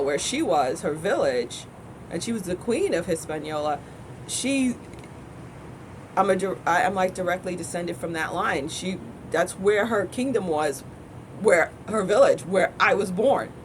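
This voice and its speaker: A woman's voice: lowish voice, background noise. Earnest rambling woman in a car. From United States. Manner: rambling, self-correcting, earnest conviction. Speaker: spiritual teacher. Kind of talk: spiritual vlog monologue.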